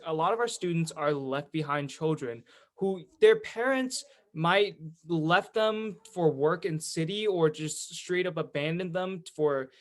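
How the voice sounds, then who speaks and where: A man's voice: on the high side, fairly bright tone. A teenage male student, giving an informal online presentation in a chatty, enthusiastic storytelling way. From California, United States. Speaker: student presenter, education executive of a youth charity.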